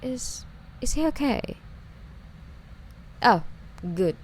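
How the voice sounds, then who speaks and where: A woman's voice: on the high side, expressive, drawn-out words, slight background noise. A woman playing a lovesick, obsessive young stalker under interrogation in an ASMR roleplay, with a coy, wheedling, hesitant delivery. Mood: hurt.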